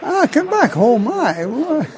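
A man's voice: very high voice, big pitch swings, slow, a crowd in the background. An older retired miner chatting in an interview, rambling and restarting his words. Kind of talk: casual interview chat. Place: outdoors at a tournament venue.

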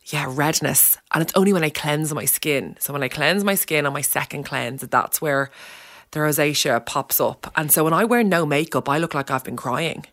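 Irish woman late 30s, kinda low voice, chatty and joking at herself, says like a lot. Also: normal speed, no echo, studio quality. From Ireland. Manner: animated, self-deprecating, uses "like" a lot. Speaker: radio presenter guest. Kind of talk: casual chat interview.

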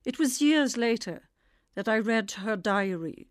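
An older woman from the Netherlands, a native Dutch speaker, reading a personal memoir in a measured, reflective way. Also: fairly high pitch, animated, no echo, clean studio sound. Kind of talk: scripted personal memoir narration.

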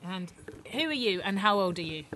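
A woman's voice: mid-range voice, lively intonation, moderate pace, fairly bright tone, a little hiss or hum, low-quality recording. Patient woman questioning children. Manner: patient, encouraging. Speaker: interviewer of children. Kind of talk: gentle questioning.

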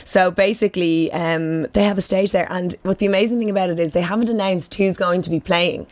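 A woman's voice: fairly low pitch, fairly even intonation, a little mellow. A woman hosting a radio chat, talking eagerly with frequent restarts. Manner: chatty and enthusiastic, quick restarts. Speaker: radio host. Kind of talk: casual chat.

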